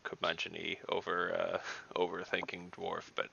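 A man playing a tabletop game over a video call, musing haltingly in casual discussion. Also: low-pitched, monotone, gravelly, pushed and bright, breathy edge. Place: indoor room, video call. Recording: dull video call audio.

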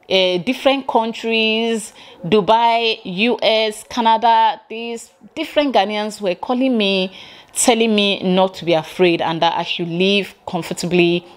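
A Nigerian woman in her forties, giving a lively reaction commentary from her living room, full of rhetorical questions. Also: mid-range voice. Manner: animated, exclaiming, rhetorical questions. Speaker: vlogger reacting to a clip.

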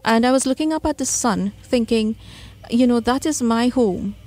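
A woman's voice: a little high, normal speed, a little mellow, rough recording. A woman with a Trinidadian accent, telling personal stories as a guest in a radio studio interview. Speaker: radio guest, spiritual medium. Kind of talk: conversational interview, personal storytelling. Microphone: studio microphone.

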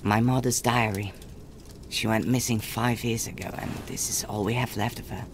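A man's voice: on the low side, fairly even intonation, moderate pace, background noise, close to an everyday voice. A man playing an earnest, caring young country boy and protective older brother, narrating reflectively in a video game. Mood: wistful.

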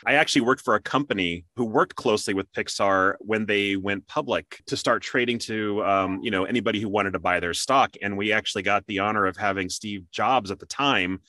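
A man's voice: on the low side, fairly quick, hardly any pauses, sharp tone, no room sound. A man hosting an interview show, speaking with an enthusiastic, showman-like manner and chatting conversationally. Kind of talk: upbeat scripted intro and conversational interviewing.

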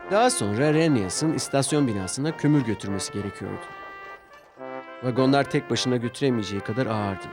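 A man narrating a scripted children's story in an expressive storytelling style. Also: medium pitch, fairly quick. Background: orchestral music with brass.

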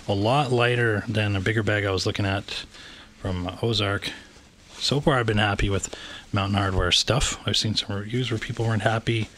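Canadian man, low voice, unscripted rambling gear review, indoor handheld, faint hiss. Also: fairly bright tone. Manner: rambling, hesitant with many fillers. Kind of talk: casual unboxing commentary. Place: indoors, room with wooden floor. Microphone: handheld camera pointed down.

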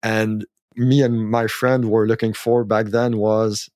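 Male podcast host, lower register, dry close mic, unhurried and chatty with lots of uh's. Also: no echo. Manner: relaxed, halting with many fillers. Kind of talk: casual chat.

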